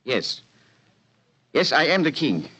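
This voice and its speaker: An actor playing a proud, secretive Bohemian king, speaking in a stately, halting, formal manner in a 1940s radio drama. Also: fairly high pitch, big pitch swings, bright, forceful tone, highs cut off, a little hiss or hum, heavily acted. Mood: uneasy, regal.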